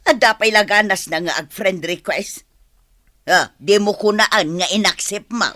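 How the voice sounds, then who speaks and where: A woman's voice: medium pitch, a little slow, a bit bright, no echo, clean studio sound, natural voice. An actress playing a worried, scolding middle-aged Filipino mother in a radio drama.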